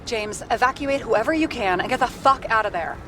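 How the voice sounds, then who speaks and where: Frank salty cop partner in a game, bit high pitched, careful, music behind her. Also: expressive, fairly quick, a bit bright. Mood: alarmed, blunt. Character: blunt, wary female police partner. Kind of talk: game dialogue over a remote link.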